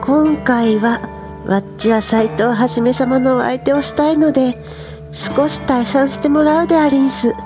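A woman's voice: high voice, steady tone, normal speed, natural voice. A woman playing an elegant, coy inn hostess who talks like an old-time courtesan in an audio drama. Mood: coy, teasing. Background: music.

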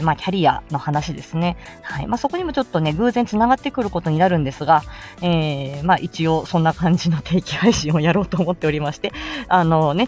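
A woman's voice: on the low side, darkish tone. A woman hosting a casual solo audio show, giggly, self-deprecating and rambling through her announcements. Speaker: host of a morning audio show.

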